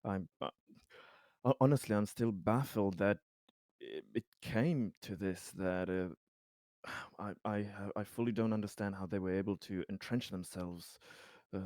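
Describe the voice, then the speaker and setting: Man playing a halting, low-voiced, polite colony official. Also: darkish tone, dry recording, studio quality, natural voice. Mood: baffled, concerned. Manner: stammering, halting, deferential. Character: hesitant, polite, earnest male colony official in sci-fi game. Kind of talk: improvised in-character tabletop roleplay.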